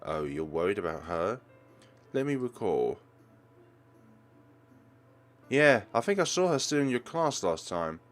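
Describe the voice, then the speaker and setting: A doting mother from a visual novel, acted out by a man, speaks with affection and attentive cheer. The pitch rises and falls freely in a gentle, caring way. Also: sing-song, moderate pace, soft background music. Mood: gentle, upbeat.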